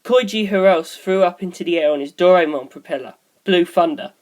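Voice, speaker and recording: A woman's voice: lowish voice, brisk, a little mellow. A woman reading scripted story narration as an audiobook narrator.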